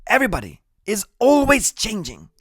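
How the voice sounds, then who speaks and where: A man's voice: sits high, huge pitch swings, moderate pace, breathy edge, dry recording, studio quality, voice pushed up, exaggerated voice. A man voicing a small, brainy, bossy boy in an audiobook, speaking in grand, precise, dramatic phrases. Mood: earnest, lofty.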